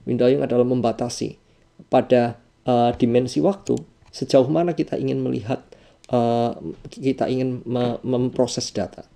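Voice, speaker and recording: A man with an Indonesian accent narrating an explanatory software tutorial as an instructor, hesitating and rephrasing key terms as he goes. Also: mid-range voice, fairly even intonation, moderate pace, vocal fry. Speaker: audio engineering instructor.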